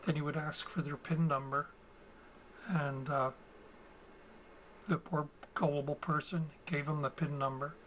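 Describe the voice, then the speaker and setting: An older man, hoarse from a cold and losing his voice. He talks slowly and haltingly, filling pauses with ums and uhs, in a slightly high voice. The recording is dull and lo-fi, with a faint hum of ambient noise behind him. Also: flat delivery. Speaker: video host. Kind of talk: casual explanatory talk.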